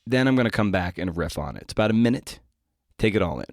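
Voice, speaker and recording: A man's voice: on the low side, very animated, quick pace, dry recording. A man in his forties hosting a podcast solo, thinking aloud in a casual, conversational, self-questioning way. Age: 40s. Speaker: podcast host. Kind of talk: reflective solo podcast monologue.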